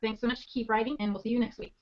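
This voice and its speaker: A woman's voice: on the high side, level tone, crowd noise. Chatty woman on dull call audio. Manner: chatty, laughs often, halting restarts. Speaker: podcast co-host. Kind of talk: casual chat.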